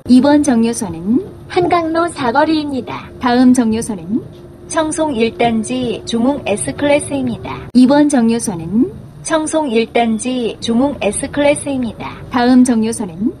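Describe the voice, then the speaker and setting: A woman's voice: high-pitched, expressive, slow pace, pauses often, rough recording. A woman reading scripted, pre-recorded bus stop announcements.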